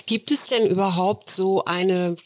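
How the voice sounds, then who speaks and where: A woman's voice: mid-range voice, fairly even intonation, a little slow, no room sound. A woman hosting a casual interview, informal and inquisitive in the way she talks. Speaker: podcast host.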